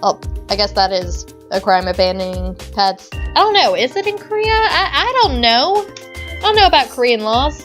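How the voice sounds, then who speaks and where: A woman's voice: on the high side, very animated, moderate pace, fairly bright tone, faint music behind. A woman hosting a let's play, reading game narration aloud and adding chatty, giggly commentary. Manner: chatty, giggly, reads narration then riffs.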